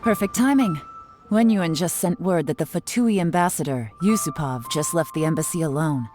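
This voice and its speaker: A woman's voice: sits low, normal speed, darkish tone, lightly acted. A woman playing a cool, shrewd intelligence officer in a video game, composed and commanding with dry confidence. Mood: commanding, confident. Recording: music behind the voice.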